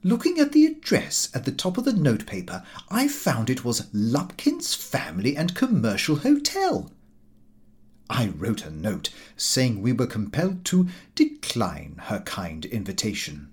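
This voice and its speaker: Animated man reading a comic diary. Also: mid-range voice, a little slow. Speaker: audiobook reader. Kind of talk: scripted narration of a comic diary novel.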